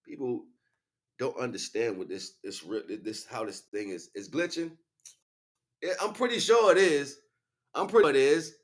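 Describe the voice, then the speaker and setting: A man's voice: mid-range voice, normal speed. A man livestreaming a casual, rambling spiritual monologue in street slang, often checking in with rhetorical questions. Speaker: livestream host.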